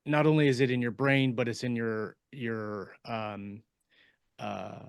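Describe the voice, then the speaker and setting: Male podcast panelist, mid-pitched, halting explainer, casual delivery, dry recording. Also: level tone, gravelly, smooth, no room sound. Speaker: podcast panelist and fiction writer.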